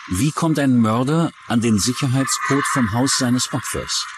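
A man's voice: mid-range voice, lively intonation, unhurried. A man reading scripted true-crime documentary narration. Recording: music bed under the voice. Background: music.

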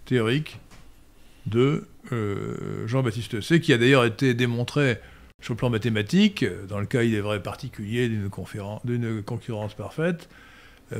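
An older man answering listener questions in a professorial, hesitant manner with frequent pauses and restarts, recorded on a stand mic in a study. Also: medium pitch. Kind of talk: lecturing Q&A answers. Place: study room indoors. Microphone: studio mic on stand.

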